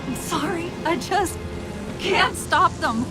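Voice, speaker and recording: High-voiced, fragile female AI. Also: lively intonation, takes it slowly, over loud music, heavily acted. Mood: anguished, apologetic. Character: troubled, emotional female artificial intelligence. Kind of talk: video game cinematic acting.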